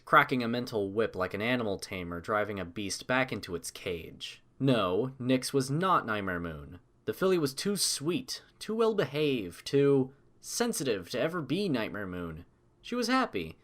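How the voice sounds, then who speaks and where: Animated male story narrator. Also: mid-range voice. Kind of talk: scripted dramatic story narration.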